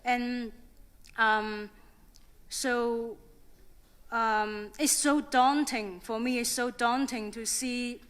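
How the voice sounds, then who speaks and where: A woman's voice: fairly high pitch, fairly bright tone, clear, resonant tone. A young woman with a Chinese accent speaking on a conference panel, hesitant, with many fillers and restarts. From China. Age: young adult.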